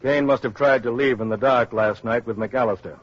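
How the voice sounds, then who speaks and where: An actor playing a brisk male camp policeman in a radio drama, clipped and official. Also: medium pitch, no echo, close to an everyday voice. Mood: businesslike. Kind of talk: 1950s radio drama acting. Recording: muffled old radio recording.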